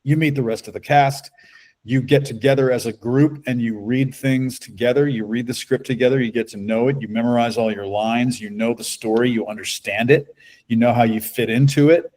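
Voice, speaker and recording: A middle-aged man with a low bass-baritone voice, a voice actor talking at length in a casual interview. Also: moderate pace, poor audio. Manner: relaxed, talkative, storytelling. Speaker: voice actor guest.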